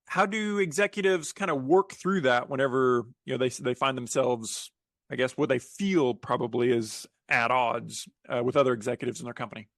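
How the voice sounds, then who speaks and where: A man's voice: mid-range voice, fairly even intonation, moderate pace, a bit bright, dry recording, clean studio sound. A man hosting a conversational business interview, agreeable and informal as he thinks his questions through aloud. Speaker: show host, interviewer.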